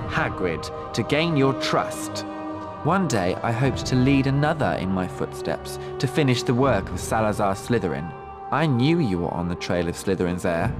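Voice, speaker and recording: An actor playing a sinister teenage dark wizard in a video game, menacing, taunting and theatrical. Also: male voice, medium pitch, natural voice. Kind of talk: video game villain acting.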